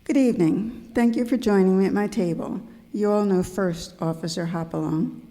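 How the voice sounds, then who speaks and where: A man's voice: sits high, moderate pace, mellow. A man playing a bossy cowboy cruise ship captain in an amateur radio skit. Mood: ominous. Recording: echoey hall, amateur live recording.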